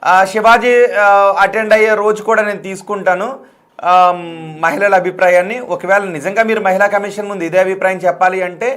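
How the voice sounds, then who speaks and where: A male live TV debate host with a notably high voice. He talks rapidly and insistently, circling back to repeat his appeals, and drops English words into his speech. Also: fairly even intonation, a bit bright. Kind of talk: live call-in debate, addressing viewers.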